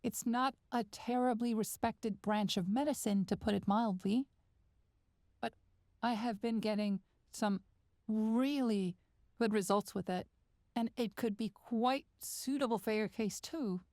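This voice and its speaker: A woman playing a calm, quietly controlling therapist and hypnotist in a measured, coaxing audio roleplay with deliberate pauses. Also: on the high side, no echo, clean studio sound. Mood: patient, reassuring. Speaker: therapist character.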